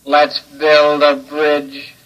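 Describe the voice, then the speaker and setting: Robot kid for old radio sci-fi, grinding flat voice, super slow, kinda menacing. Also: male voice, on the high side. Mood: childlike, curious. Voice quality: grinding, mechanical voice. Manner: flat, slow, simple childlike phrasing. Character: childlike robot with a six-year-old mind, obedient, coldly menacing. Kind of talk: old-time radio drama acting. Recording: dull old radio recording.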